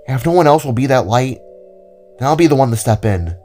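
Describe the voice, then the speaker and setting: A man voicing an earnest, idealistic young woman with powers in an audio drama, speaking haltingly and hopefully. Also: mid-range voice, animated, a little mellow, lightly acted. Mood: hopeful, determined. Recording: music under the voice.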